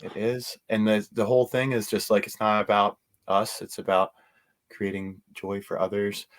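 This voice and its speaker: A man's voice: fairly low pitch, flat delivery, moderate pace. An American man speaking as an interview guest, casual and easygoing with an earnest way of talking. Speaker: interview guest, convention owner.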